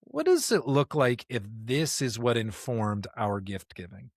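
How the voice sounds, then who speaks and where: A man's voice: medium pitch, big pitch swings, a little slow, dry recording, studio quality. A man co-hosting a podcast, reflecting on faith conversationally in an earnest, emphatic way, with rhetorical questions. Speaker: podcast co-host. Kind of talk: conversational, reflective religious discussion.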